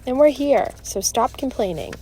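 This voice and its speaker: A woman's voice: high voice, a little slow, creaky, a little mellow, slight background noise, pitched above the performer's own voice, low-quality recording. A woman voicing an outspoken, worried schoolgirl in a storybook, urgent and blurting. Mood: indignant. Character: outspoken, worried schoolgirl defending her friends.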